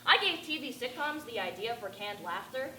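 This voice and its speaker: A teenage girl playing an eccentric, rambling bag lady who talks to aliens, with a raspy voice and a ranting, confiding stage-monologue delivery. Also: a little high, expressive, normal speed, bright, forceful tone. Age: teens. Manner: ranting, confiding, addressing passers-by. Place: stage performance. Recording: echoey room with background noise.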